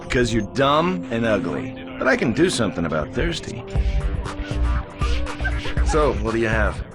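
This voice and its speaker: An actor playing a confident, teasing older brother, a young space pilot, in a video game cutscene. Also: male voice, mid-range voice, very animated, moderate pace, lightly acted. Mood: wry. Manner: wry, teasing, self-assured. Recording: music behind the voice.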